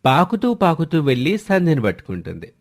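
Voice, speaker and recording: A man's voice: on the high side, wildly swooping pitch, brisk. A man narrating a scripted family story as the storyteller.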